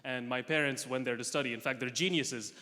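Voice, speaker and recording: A young man from Russia performing a stand-up comedy set, delivering dry, self-mocking punchlines with quick asides. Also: medium pitch, sharp tone. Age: young adult. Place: large comedy festival hall with audience. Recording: live hall recording with long reverb.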